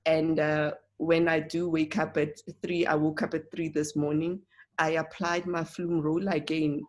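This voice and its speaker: A woman speaks in a hoarse, croaky voice that sits low for a woman. She talks slowly and earnestly, stopping often for fillers and restarts. She presents a casual class from home over a video call. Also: little pitch movement, darkish tone. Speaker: presenter of an online oils class. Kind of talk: casual spoken class talk.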